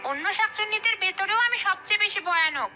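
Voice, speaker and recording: A woman's voice: very high-pitched, lively intonation, fast talker, over music. A woman voicing a bitter, jealous, menacing female ghost in a cartoon, complaining and threatening. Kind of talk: cartoon voice acting.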